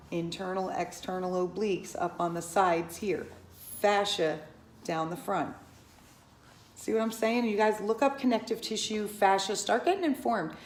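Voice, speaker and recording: A fifty-year-old woman talks straight to the camera in a chatty, rambling vlog. Her voice sits at a medium pitch, and she keeps circling back and adding asides. The sound rings a little off the walls of the room. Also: roomy sound. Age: about 50. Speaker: Pilates instructor. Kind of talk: casual talking-to-camera vlog.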